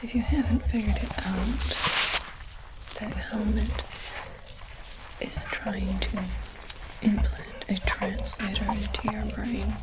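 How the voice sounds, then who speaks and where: A woman voicing a calm, matter-of-fact alien technician in a soft, deliberate ASMR roleplay whisper, close to the microphone. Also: medium pitch, heavy background noise, lightly acted. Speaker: ASMR performer. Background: keyboard typing sounds.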